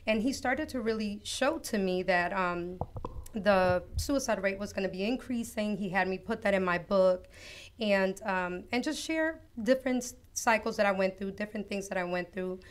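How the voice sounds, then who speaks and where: A woman from Costa Rica, a guest author on an interview show, telling her life story in an earnest, reflective, unhurried way. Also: mid-range voice, clean tone. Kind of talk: personal testimony in an interview.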